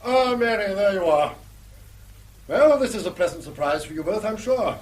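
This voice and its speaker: A man's voice: high voice, animated, moderate pace, rough recording. An actor playing a wry, genteel old English father in a radio drama, dry and quaintly formal. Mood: wry, cheerful. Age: older.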